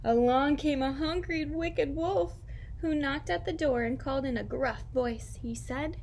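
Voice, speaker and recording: A woman teacher reading aloud and talking directly to young children in simple, expressive language. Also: high voice, unhurried, a little hiss or hum. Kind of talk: children's read-aloud and lesson.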